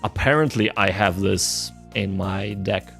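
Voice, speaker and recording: A man's voice: low voice, a little slow, vocal fry, a bit bright, faint music behind. A man doing casual live study-stream commentary, thinking aloud and translating hesitantly. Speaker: streamer, language learner.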